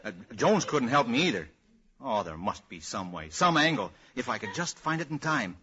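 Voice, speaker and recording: A man's voice: mid-range voice, very animated, moderate pace, sharp tone. A man with an American accent playing a fretful, scheming character in 1940s radio comedy. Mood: worried, exasperated. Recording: muffled, noisy old radio recording.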